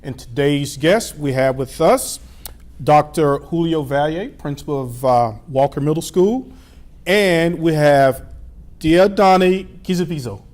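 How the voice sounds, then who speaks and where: A middle-aged man hosting a local TV interview, his voice pitched a little above the usual male range. He asks friendly, easygoing questions and leans on plenty of "uh" and "um" fillers. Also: unhurried, darkish tone. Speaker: TV show host.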